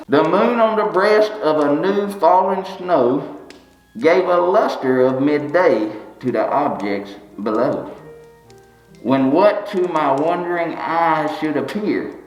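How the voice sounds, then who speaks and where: A man putting on a slow country joker. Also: on the high side. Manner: drawling, stumbles over words, side-jokes between verses. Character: folksy bald Southern country man, wisecracking reader. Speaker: storyteller reading aloud. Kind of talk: comedic read-aloud of a holiday poem. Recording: roomy, slightly dull recording.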